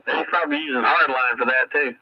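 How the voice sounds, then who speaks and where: A man's voice: medium pitch, steady tone, quick pace, husky. A man reminiscing casually as a radio operator, heard over a radio transmission. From American South. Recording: dull band-limited CB radio audio.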